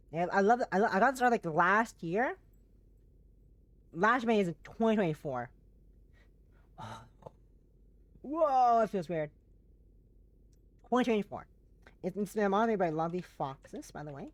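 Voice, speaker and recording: This is a woman streaming live and chatting with her viewers. Her voice sits a little low, and it is hoarse and stuffy-nosed from a blocked nose. She talks in a friendly, rambling way, restarting her sentences as she goes. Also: no room sound. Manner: chatty and warm to chat, frequent restarts. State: hoarse, voice going.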